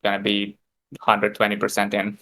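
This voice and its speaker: A man from Ukraine, a game developer answering interview questions thoughtfully, with filler pauses. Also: on the low side, monotone, sharp tone, a little hiss or hum.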